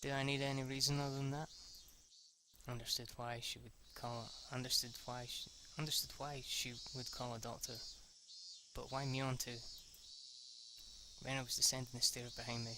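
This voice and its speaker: A man's voice: mid-range voice, flat delivery, background noise. A young man reading a visual novel aloud in a casual let's-read, stumbling and restarting lines as he goes. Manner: reads haltingly, restarts lines and swears at slips.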